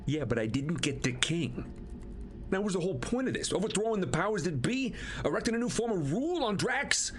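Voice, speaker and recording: A man playing a weakened but defiant young rebel warrior in an audio drama, earnest and expressive. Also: high voice, brisk, a little hiss or hum. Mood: weary.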